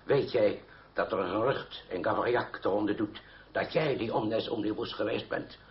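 An older man acting in a radio drama as an irascible, wounded aristocratic uncle who scolds gruffly. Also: on the low side, normal speed, slight background noise, natural voice. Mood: outraged. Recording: dull old radio drama recording.

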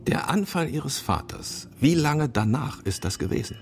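An actor playing a fatherly senior police man in a German radio play, measured, probing and authoritative. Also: a little high, sing-song, soft background music. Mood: calm, probing.

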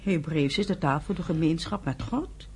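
A young immigrant wife in a radio drama. Her voice is husky and unpolished, and she talks in a dry, stubborn, contrary manner. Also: low voice, moderate pace, heavily acted.

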